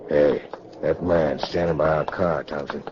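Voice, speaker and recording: An actor playing a desperate, hard-bitten convict with a gruff, urgent manner in a 1940s radio drama. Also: male voice, very deep voice, fairly even intonation, a little slow. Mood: wary. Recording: muffled 1940s radio recording, faint noise.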